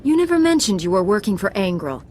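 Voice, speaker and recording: A steadfast sci-fi game heroine, voiced by a woman, mid-pitched and firm. She is a principled knight who speaks earnestly and with assurance. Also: very animated, quick pace, darkish tone, slight background noise, close to an everyday voice. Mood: defiant.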